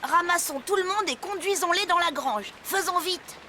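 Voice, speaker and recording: Very high, bright cartoon character. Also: female voice, normal speed, a little hiss or hum. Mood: eager. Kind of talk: French children's cartoon dubbing.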